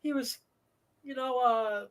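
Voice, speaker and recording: High, bright-voiced man rambling profanely. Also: steady tone, slow pace. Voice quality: slightly nasal. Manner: rambling, profane, many fillers and restarts. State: dry throat. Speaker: host. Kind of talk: casual live show monologue.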